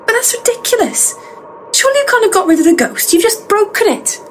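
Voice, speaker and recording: An actress playing a sceptical, exasperated observer of ghost hunters in a comedy audio drama, incredulous and pointed. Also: female voice, very high voice, big pitch swings, a little fast, soft background music, poor audio.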